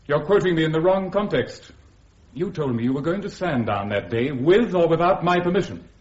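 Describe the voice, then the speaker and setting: A man's voice: on the high side, animated, fairly quick, a little mellow, close to an everyday voice. A man playing a stiff, evasive senior army officer with an English accent, formal and guarded, in radio drama. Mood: guarded, defensive. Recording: dull, muffled radio drama recording.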